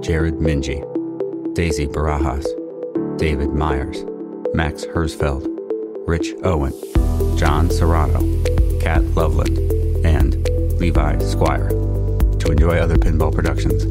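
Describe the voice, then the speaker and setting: A man reading scripted narration and credits for an audio drama. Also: extremely low pitch. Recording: music bed under the voice.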